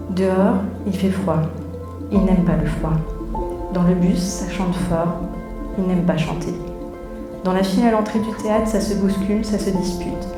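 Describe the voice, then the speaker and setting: A woman, a theatre director, addressing an audience live from a theatre, in the manner of a welcome and read-aloud story. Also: mid-range voice. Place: theatre auditorium, before an audience. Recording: roomy echo, music underneath.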